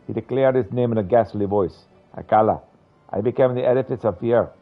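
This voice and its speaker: A man's voice: medium pitch, a little fast, phone-quality sound, faint music behind, pitched above the performer's own voice, natural voice. A man voicing a formal, fearful adventurer who tells things in florid, dramatic style. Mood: haunted, dramatic. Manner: grandiloquent, dramatic.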